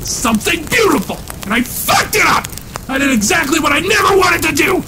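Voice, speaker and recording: Man acting high-voiced brooding, unstable young killer. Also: animated, a little fast, poor audio. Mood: anguished. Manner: brooding monologue, intense outbursts. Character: despairing, unstable, self-pitying young male killer. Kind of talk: dramatic comic-book dub. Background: music under the voice.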